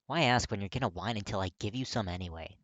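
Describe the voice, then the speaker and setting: A narrator voicing an irritable young man who talks in a gruff, clipped, grudging way, as in an audiobook. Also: deep voice, fast talker, fairly bright tone, no room sound.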